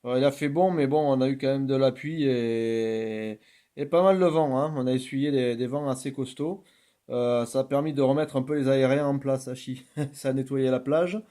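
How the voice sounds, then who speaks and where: A man's voice: medium pitch, moderate pace, dark tone. A man making radio contact, courteous, with procedural call-outs and stock phrases. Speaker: amateur radio operator. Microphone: radio's original microphone.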